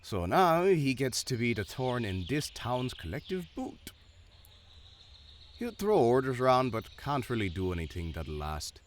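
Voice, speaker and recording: A man's voice: medium pitch, big pitch swings, measured pace, slight background noise. An actor playing an easygoing townsman in a fantasy audio drama, with a playful, sardonic manner. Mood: wry, easygoing. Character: wry, easygoing male townsman, teasing and unbothered. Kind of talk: fantasy audio roleplay acting.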